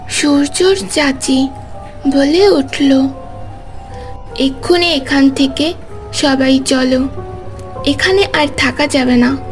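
A woman giving scripted narration of a story, deliberate, with pauses between phrases. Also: high voice.

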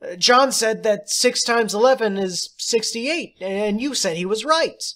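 A man's voice: high-pitched, animated, a little slow, a bit bright, pitched above the performer's own voice. A man voicing an eager young schoolchild who protests urgently in a read-aloud short story.

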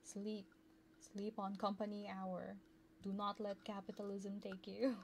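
A soft-spoken, playful woman chatting casually with viewers on an ASMR livestream, close to a binaural microphone. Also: medium pitch, flat delivery, unhurried. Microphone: close binaural ear microphone. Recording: clear background noise.

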